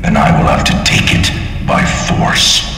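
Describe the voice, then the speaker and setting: An actor playing a cold, gloating tyrant general in a video game, speaking formally and with condescension. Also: male voice, measured pace, sharp tone. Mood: cold menace. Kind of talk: video game villain acting. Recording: echoey hall, long reverb, faint music.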